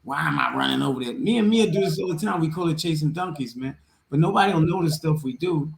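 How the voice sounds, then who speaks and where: Creaky, high-pitched New man chatting. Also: expressive, fast talker. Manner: emphatic, slangy, repetitive. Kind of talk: casual, streetwise chat. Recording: roomy echo with faint noise.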